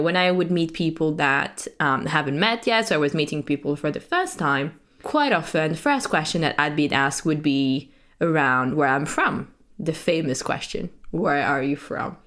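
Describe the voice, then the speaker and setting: A woman from France hosting a podcast, speaking in an earnest, reflective monologue with frequent "you know" and "um". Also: deep voice. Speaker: podcast host.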